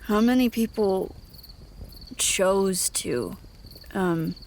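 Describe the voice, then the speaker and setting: An earnest young traveler, the little one of a band of survivors in an audio drama. Her voice is mid-pitched, hesitant and soft-hearted. Faint insects and night air sit behind her. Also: very slow, a little hiss or hum. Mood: shaken, hesitant.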